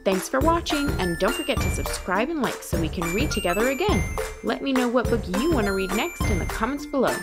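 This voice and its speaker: A woman hosting a read-aloud for children, speaking to young listeners in an expressive, animated way. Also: a little high, fairly quick. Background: music.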